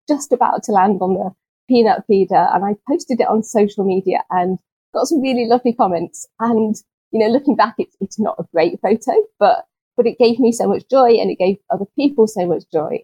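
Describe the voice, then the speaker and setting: A woman guest on a nature podcast, answering in a gentle, unhurried way with small restarts and soft laughs, sounding a little low on energy. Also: husky, dry recording, rough recording. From UK, not Scotland. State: chronically ill, speaks with low energy. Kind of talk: conversational interview answers.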